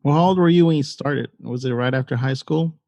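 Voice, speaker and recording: A man's voice: mid-range voice, a little fast, gravelly, mellow. A man hosting a casual interview, prompting his guest with short friendly questions. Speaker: podcast host. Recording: dull, dry close sound.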